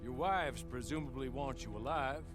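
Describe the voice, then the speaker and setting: An actor playing a suave, courteous fixer in a video game cutscene, speaking with polished, formal diction and dry politeness. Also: male voice, mid-range voice, moderate pace, over music, lightly acted. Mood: calm. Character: suave, courteous middle-aged male fixer. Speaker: outlaw rescuer in a game cutscene.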